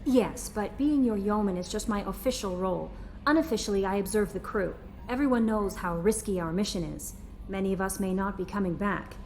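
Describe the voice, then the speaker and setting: A woman's voice: medium pitch, brisk, a little mellow, a little hiss or hum, close to an everyday voice. A woman playing an earnest, personable young ship's aide in a video game. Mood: friendly. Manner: eager, personable.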